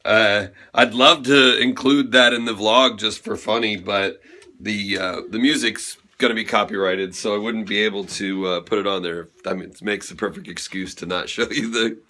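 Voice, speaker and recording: A hoarse man rambling chattily through his day as a vlogger, quick to laugh. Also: lowish voice, fairly even intonation, pushed and bright. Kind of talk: casual daily vlog chat.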